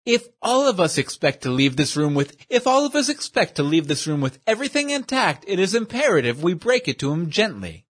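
A puffed-up, verbose portly gentleman who relishes every fancy word, as a male narrator voices him. His voice sits high and leaps about a lot. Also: very animated, fairly quick, few pauses, husky, heavily acted. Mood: pompous. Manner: grandiloquent, over-formal diction. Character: pompous portly man, verbose and fussy.

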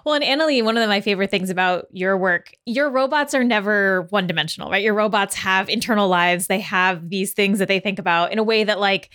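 A woman's voice: medium pitch, a little fast, hardly any pauses, fairly bright tone. A woman interviewing guests in a casual, chatty and enthusiastic way as host.

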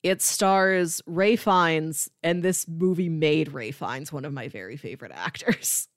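A woman co-hosting a podcast in a casual, informal chat, describing things in a conversational and animated way. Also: sits low, unhurried, no room sound, studio quality. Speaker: podcast co-host. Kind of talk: casual chat, pitching movies.

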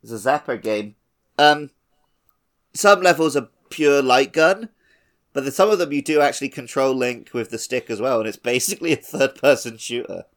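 A man's voice: medium pitch, lively intonation, normal speed, a bit bright. A man hosting a casual gaming podcast chat, jokey and often chuckling as he talks. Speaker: podcast host.

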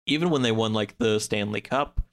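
A man's voice: fairly low pitch, brisk. A man chatting casually as a podcast guest, recounting a story in a loose, rambling way peppered with "like". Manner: rambling, loosely pieced-together telling, peppered with "like".

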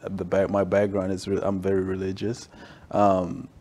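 A man's voice: low-pitched, completely flat delivery, moderate pace, a little mellow. A man in his twenties from Tanzania, speaking casually as an interview guest and often adding check-in tags to his sentences. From Tanzania, moved to the US at ten. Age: 20s. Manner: frequent "you know" and "right?" tags. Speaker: interview guest, staff accountant. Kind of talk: casual conversational storytelling.

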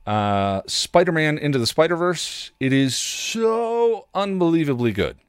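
A man's voice: fairly high pitch, big pitch swings, unhurried, clear, resonant tone, no echo, studio quality. A man hosting a podcast, giving casual recommendations in an enthusiastic, rambling way, thinking aloud as he goes. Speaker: podcast host recommending shows. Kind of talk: casual chat, streaming recommendations.

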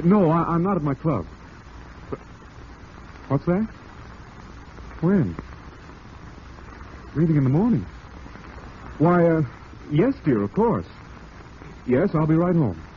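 Casting: slippery, pleading two-timing husband, very animated theatrical delivery, dulled old radio sound. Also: male voice, on the high side, slow pace, long pauses. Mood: nervous, placating. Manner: earnest, flustered, theatrical. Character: evasive, pleading kept husband juggling mistress and wife. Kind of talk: old-time radio drama acting. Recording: muffled, hissy old radio recording.

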